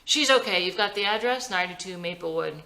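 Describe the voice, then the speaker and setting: Curt doctor husband in a TV drama, read by a woman, dry, dismissive, crisp and bright. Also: lowish voice, expressive, quick pace. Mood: brisk.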